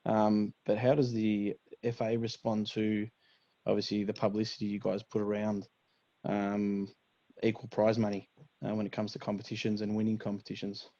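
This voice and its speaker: Mellow, low-voiced man on a call. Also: monotone, moderate pace, dry recording. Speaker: audience questioner. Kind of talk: asking a question, conversational. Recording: dull, lo-fi video call audio.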